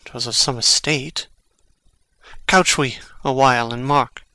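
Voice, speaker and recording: An actor playing a brooding, impassioned young prince in a dramatic Shakespeare reading, with deliberate theatrical delivery. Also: male voice, mid-range voice, fairly even intonation, pushed and bright, dry recording. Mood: somber, watchful.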